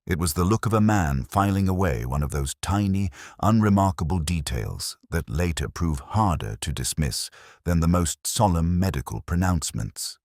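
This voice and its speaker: Very deep-voiced male narrator. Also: no echo, studio quality. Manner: measured, dryly ironic storytelling. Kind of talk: scripted narration of a radio mystery.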